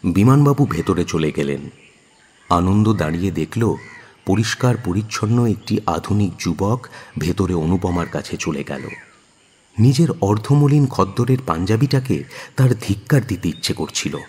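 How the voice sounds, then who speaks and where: Deep, husky male storyteller in reverb. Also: expressive, normal speed, darkish tone. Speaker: narrator. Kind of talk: dramatised story narration. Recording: long hall-like reverb.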